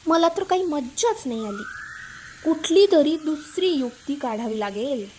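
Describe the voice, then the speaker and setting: Woman as a high-pitched mischievous shepherd boy. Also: animated, moderate pace, slight background noise. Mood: teasing. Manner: shouting alarms, teasing villagers. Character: mischievous young shepherd boy, bored and playful.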